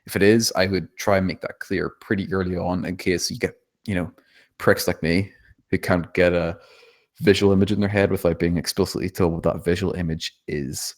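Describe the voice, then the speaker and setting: A man's voice: low voice, steady tone, normal speed. A man giving spontaneous script feedback, thinking aloud in a hesitant, self-deprecating, hedging way. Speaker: table read participant giving script feedback.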